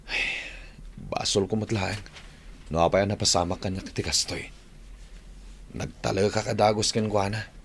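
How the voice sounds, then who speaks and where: An actor playing a worried, lovesick young man in an old radio drama, talking softly and apologetically. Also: fairly low pitch, fairly even intonation, moderate pace, pauses often, breathy edge. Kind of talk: 1990s-style AM radio drama acting. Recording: old AM radio recording, faint hiss.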